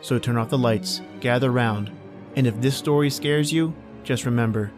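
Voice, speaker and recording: Male podcast host, scripted horror-tale introduction, clear music bed underneath. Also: medium pitch, normal speed.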